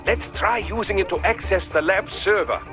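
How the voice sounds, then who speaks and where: A woman's voice: mid-range voice, normal speed, over music, close to an everyday voice. A woman playing an attentive, helpful mission support operator in a video game cutscene.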